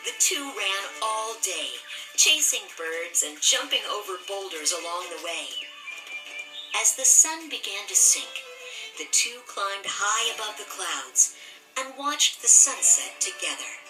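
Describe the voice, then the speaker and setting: A woman narrating a children's story as an expressive, scripted read-aloud. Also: medium pitch, a little slow. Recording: roomy, slightly distant, music behind.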